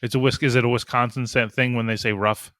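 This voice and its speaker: A man's voice: mid-range voice, level tone, fast, a bit bright, no room sound, clean studio sound. A man hosting a podcast, asking rambling, casual questions and laughing easily. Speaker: podcast host.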